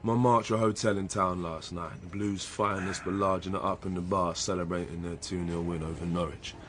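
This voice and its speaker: A man's voice: low-pitched, fairly even intonation, normal speed, background noise, lightly acted. A British man playing a sceptical, impatient younger police detective in a radio drama, blunt and colloquial. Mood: matter-of-fact. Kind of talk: naturalistic radio drama dialogue.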